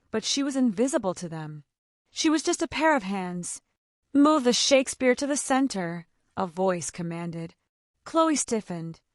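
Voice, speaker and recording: A woman narrating a scripted romance story in an even, measured way. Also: mid-range voice, dry recording, studio quality.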